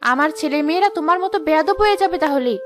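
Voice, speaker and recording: A woman's voice: high-pitched, lively intonation, rapid-fire. A woman voicing a spiteful, greedy, bossy sister-in-law in a scolding cartoon style. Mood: scornful. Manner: scolding, taunting. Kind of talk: Bengali moral-story cartoon voice acting.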